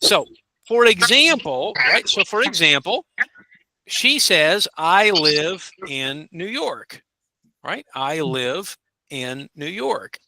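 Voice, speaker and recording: A man teaching a basic English class over a video call, patiently modeling phrases slowly for his students. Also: on the high side, very animated, creaky, bright, forceful tone, no room sound, rough recording. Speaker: ESL teacher. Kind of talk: encouraging classroom instruction.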